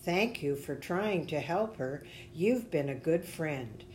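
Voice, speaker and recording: A woman voicing God in a children's story as a calm, kindly, tender and reassuring mysterious voice. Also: low voice, slow pace, slight background noise. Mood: gentle.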